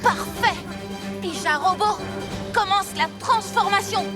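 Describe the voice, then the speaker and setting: Very high-voiced, resolute boy hero. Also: slow pace. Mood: determined. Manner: commanding, earnest. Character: resolute six-year-old boy superhero team leader. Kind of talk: French animated cartoon dub. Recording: music behind the voice.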